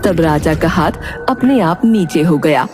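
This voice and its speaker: A woman's voice: low voice, lively intonation, a little slow. A woman narrating a children's cartoon story from a script. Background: music.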